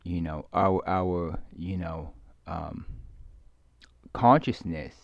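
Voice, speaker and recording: A man rambling casually in a hesitant, halting, musing way, often trailing off as he thinks aloud. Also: low voice, creaky, no room sound. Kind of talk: rambling casual monologue, ASMR roleplay. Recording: dull lo-fi close dry recording.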